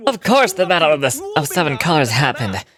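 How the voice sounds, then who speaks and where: An earnest, stubborn tribesperson from a fantasy game, voiced by a woman. The voice is lowered and swings widely in pitch, over crowd noise. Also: sing-song, fast talker. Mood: insistent. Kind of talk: game dialogue read aloud.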